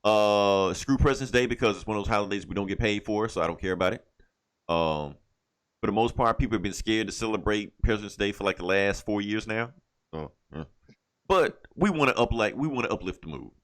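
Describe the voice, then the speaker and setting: A man's voice: fairly low pitch, animated, a little fast, a bit bright, clear, resonant tone. A man from the United States hosting a casual podcast, telling stories in a joking, profane way. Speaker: comic book podcast host.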